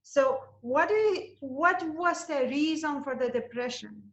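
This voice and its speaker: A woman's voice: sits high, measured pace. A woman from Lithuania, with Lithuanian as her first language, hosting an interview and asking questions in a rambling, non-native way. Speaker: interview host. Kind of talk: conversational interview. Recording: roomy, muffled video call audio.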